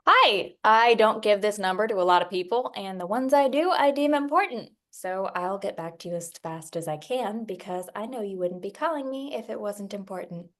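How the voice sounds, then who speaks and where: A wary woman in a film drama, acted by a woman at a table read. She is blunt and guarded, a detective's reluctant partner, speaking at a medium pitch. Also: lively intonation, moderate pace, hardly any pauses, natural voice. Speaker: actor at a script table read.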